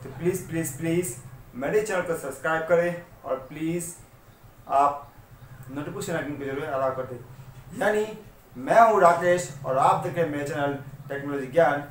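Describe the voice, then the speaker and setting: A man's voice: on the high side, moderate pace. An Indian man hosting a casual tech tutorial, talking to viewers in a repetitive, pleading way. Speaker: tech tutorial channel host. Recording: noisy amateur recording with background ambience.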